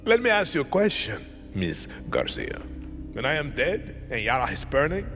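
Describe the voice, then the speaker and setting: An actor playing a dying older dictator with a Latin American Spanish accent, imperious and theatrical, in a video game cutscene. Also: male voice, medium pitch, huge pitch swings, soft background music. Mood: cold, measured menace. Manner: deliberate, theatrical, swinging between hushed menace and outbursts.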